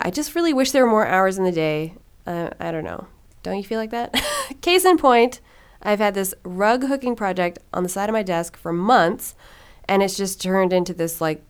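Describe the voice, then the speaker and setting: Young woman podcast host; chatty, filler-heavy, smooth and resonant tone. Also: medium pitch. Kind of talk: casual monologue and interview.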